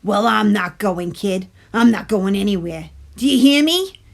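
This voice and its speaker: A woman voicing a bossy, wisecracking sweet tooth in a children's storybook, loud and pleading as it craves candy. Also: medium pitch, animated, unhurried, creaky. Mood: indignant. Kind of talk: animated picture-book character voice.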